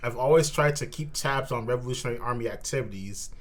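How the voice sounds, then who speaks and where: A male host doing a live reaction to manga. He reads dialogue aloud and adds his own commentary, moving between slower reading and animated exclamations at a middling pitch.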